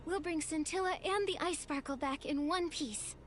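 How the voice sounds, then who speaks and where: Woman voicing a very high-pitched gentle young heroine. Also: moderate pace, slight background noise, natural voice. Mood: resolute. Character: kind, resolute young heroine who comforts friends. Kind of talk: video game cutscene acting.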